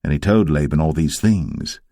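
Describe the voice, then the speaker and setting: A male narrator reads scripture in a low voice. His delivery is solemn and measured, like scripted storytelling, and the recording is close and dry. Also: warm tone, no room sound.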